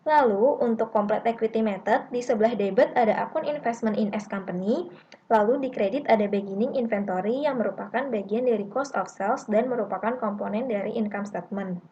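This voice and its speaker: A woman's voice: on the high side, brisk, warm tone. A young woman narrating a scripted lesson in a methodical, instructional way. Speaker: accounting lab tutor. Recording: dull lo-fi mic with clear background noise.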